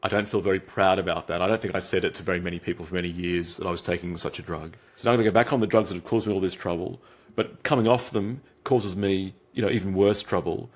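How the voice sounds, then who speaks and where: Low-voiced Australian man. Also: steady tone, quick pace. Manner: reflective, many restarts and fillers. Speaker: guest, psychiatric trainee. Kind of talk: interview answers.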